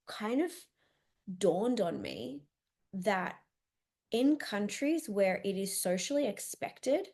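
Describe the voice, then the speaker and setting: A woman from Australia co-hosting a reflective chat, talking slowly with many pauses and restarts. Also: medium pitch, darkish tone. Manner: hesitant, many ums and restarts. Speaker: podcast co-host.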